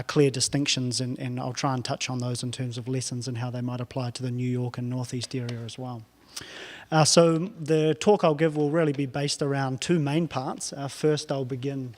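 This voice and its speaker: A man from New Zealand with a New Zealand accent, giving a technical lecture to an audience, pausing often with "uh" fillers. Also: medium pitch, darkish tone. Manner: halting, frequent "uh" fillers. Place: lecture hall. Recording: long hall reverb.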